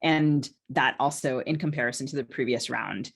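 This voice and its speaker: A woman's voice: rumbling low, moderate pace, vocal fry, husky. A woman in a casual podcast conversation, speaking as a novelist and co-host in a hesitant, circling way with many qualifications.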